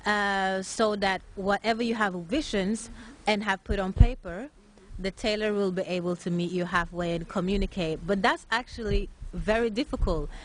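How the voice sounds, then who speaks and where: A woman's voice: medium pitch, moderate pace, a little hiss or hum. A nineteen-year-old woman from Norway, a young fashion designer answering interview questions earnestly with many hesitant filler sounds. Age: late teens.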